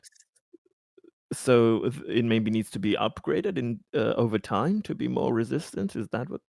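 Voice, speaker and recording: A man playing a tabletop roleplaying game, casually and haltingly describing what his character does and asks. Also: medium pitch, animated, vocal fry, darkish tone, dry recording, studio quality.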